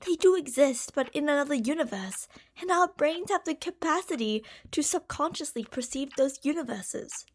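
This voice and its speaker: High-voiced, lovestruck stalker girlfriend, acted by a woman. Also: expressive, normal speed, hardly any pauses. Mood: eager, explanatory. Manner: coaxing, explanatory, fond pet names, theatrical emphasis. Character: lovestruck obsessive female stalker from a parallel universe. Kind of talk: ASMR roleplay monologue addressed to the listener.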